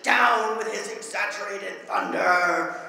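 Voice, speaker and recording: A woman recites poetry in a big reverberant hall, her voice set back from the microphone. She is theatrical and declamatory, drawing phrases out slowly and chanting them like an incantation. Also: mid-range voice, gravelly, sharp tone. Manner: emphatic, declamatory delivery. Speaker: performance poet. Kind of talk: dramatic spoken-word poetry recital. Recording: echoey hall, voice far from mic.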